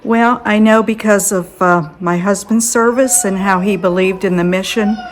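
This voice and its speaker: A woman who is a donor guest, speaking softly and sincerely in a measured way. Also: mid-range voice, fairly even intonation, noisy background.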